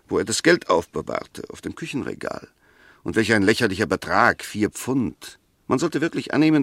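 An actor in a 1960s German radio play as an eloquent, argumentative defence advocate, speaking with precise, emphatic, scornful rhetoric. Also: male voice, mid-range voice, no room sound, studio quality. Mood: insistent. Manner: rhetorical, emphatic, pointed questions, precise diction.

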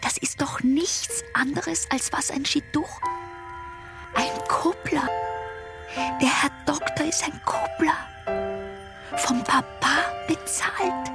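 An actress playing a bitter, defiant young patient's inner voice in a radio drama, scornful and self-scolding. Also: female voice, sits high, steady tone, slow pace, long pauses, music in the background. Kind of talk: German radio drama monologue.

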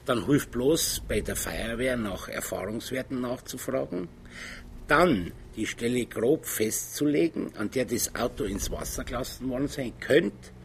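A practical police detective in a radio drama. His medium-pitched voice has a gravelly creak and a dry manner, with faint noise behind. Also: animated, measured pace. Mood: methodical.

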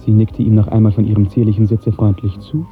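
A man narrating a literary radio drama in 1950s German style, with measured, lyrical storytelling. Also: fairly low pitch, little pitch movement, soft background music. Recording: muffled, narrow-band 1950s radio recording.

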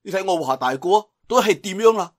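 Man acting an earnest, lively Cantonese young hero. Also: high voice, fast talker, pitched above the performer's own voice. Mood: earnest pleading. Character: earnest younger martial-arts hero, friendly peacemaker.

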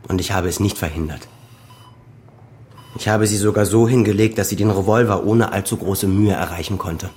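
An actor plays a resolute, grim son with a low man's voice. His delivery is deliberate and quietly threatening, as in a radio crime play, with faint noise behind him. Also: natural voice. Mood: bitter, confessional. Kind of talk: German radio crime drama acting.

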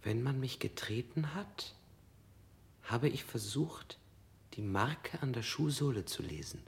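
An actor playing a male prisoner who flatly recounts his interrogation, at times in a whisper. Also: medium pitch, slow, gravelly. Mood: numb. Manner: flat, numb recounting, often whispered. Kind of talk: 1960s radio play acting.

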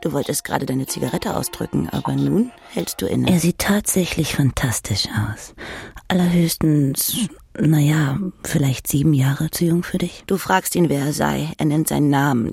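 Creaky, smooth-voiced woman narrator. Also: low-pitched, a little mellow, dry recording, clean studio sound. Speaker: audiobook narrator. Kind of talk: literary second-person narration, present tense.

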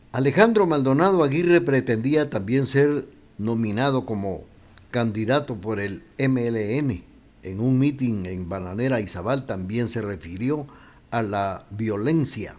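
A Guatemalan man hosting a radio program, chatting in an unhurried, conversational way. His voice sits at an ordinary middle pitch for a man. He stops now and then to think before going on. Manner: relaxed, conversational, with thinking pauses. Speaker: radio host. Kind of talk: radio show commentary between songs.

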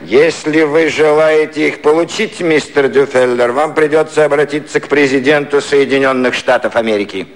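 An actor playing a wily elderly British prime minister in a 1950s satirical radio play, ironic, declamatory and coaxing. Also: male voice, on the high side, moderate pace, hardly any pauses, natural voice, low-quality recording. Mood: dry, resigned.

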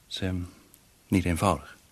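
A man's voice: deep voice, fairly even intonation, normal speed. A male actor in a radio play playing a worried, tactful employer who speaks hesitantly and apologetically. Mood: concerned, tactful.

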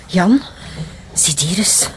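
A woman's voice: lowish voice, lively intonation, slow pace, background noise. An actress playing an alert female police detective in a naturalistic audio drama.

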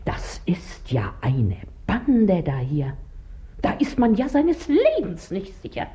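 A woman playing a shrewd, talkative middle-aged washerwoman in a radio play, folksy and flattering, confiding with theatrical emphasis. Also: mid-range voice, slow pace. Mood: indignant. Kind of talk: 1950s German radio play acting. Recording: dull, band-limited 1950s radio recording.

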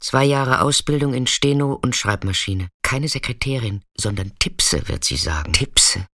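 An actress playing a detached narrator in a literary radio play, measured and incantatory with repeated phrases. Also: female voice, a bass voice, lively intonation, a bit bright, no echo, studio quality, exaggerated voice. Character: detached narrator telling a girl's biography in terse refrains.

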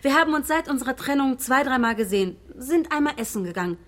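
An actress playing a brusque, impatient shop saleswoman in a radio play, with a husky voice. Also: high-pitched, expressive, fast talker. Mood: brusque, irritated. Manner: blunt, impatient. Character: bored, brusque phone-shop saleswoman, gossipy and squeamish.

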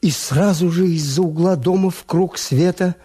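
An actor playing a reserved, wary male hotel owner who narrates and speaks in a restrained, measured way in a radio drama. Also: high voice, dark tone, husky. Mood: brooding narration. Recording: Soviet radio play with music underneath.

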